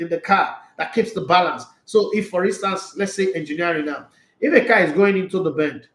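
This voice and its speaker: A man, hosting a casual football chat show and answering viewer comments in an animated, rambling way. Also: sits high, roomy sound. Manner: animated, rambling, gestures a lot. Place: small indoor studio room.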